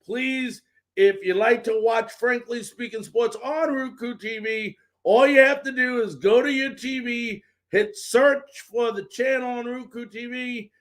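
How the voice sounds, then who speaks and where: A man with a noticeably high voice hosts a live sports talk show. He delivers his lines emphatically, in the style of an announcer, addressing viewers and guests directly. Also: fairly even intonation, a little slow.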